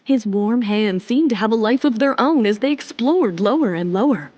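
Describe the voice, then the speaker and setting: High-voiced woman narrating a romance drama. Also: lively intonation, moderate pace, a little mellow, muffled recording. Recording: music under the voice.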